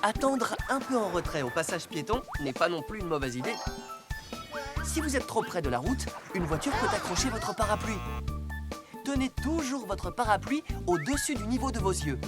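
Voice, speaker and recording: An actor plays a responsible cartoon police car, caring and gently instructive. The voice is mid-pitched with lively intonation, and music plays behind it. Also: moderate pace, natural voice. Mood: gently lecturing. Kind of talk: dubbed children's cartoon.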